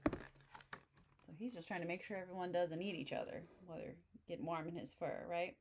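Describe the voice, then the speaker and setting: A woman's voice: on the low side, normal speed, background noise. Friendly woman reading a picture book. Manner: friendly, explaining to kids. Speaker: children's book reader. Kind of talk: picture-book read-aloud with asides.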